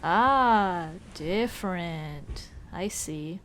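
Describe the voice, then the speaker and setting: A woman's voice: lowish voice, animated, very slow, fairly bright tone, slight background noise, exaggerated voice. A woman playing a young tomboy childhood friend, casual, teasing and caring, in a scripted ASMR roleplay. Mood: curious, teasing. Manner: casual, teasing, addresses listener as dude. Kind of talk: ASMR roleplay, scripted one-sided conversation.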